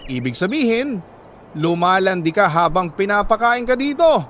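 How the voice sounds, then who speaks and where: A man's voice: high voice, big pitch swings, moderate pace, fairly bright tone, a little hiss or hum. A Filipino man voicing a cruel, accusing aunt who berates a child in a dramatic radio story. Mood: scornful anger. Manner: harsh, accusing.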